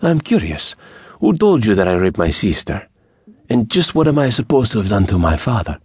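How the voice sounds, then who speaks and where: Man acting sly bandit, pitched up. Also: big pitch swings, moderate pace, a little mellow, breathy edge, dry recording, clean studio sound. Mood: probing. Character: sociable, menacing Mexican bandit leader, shrewd and mocking.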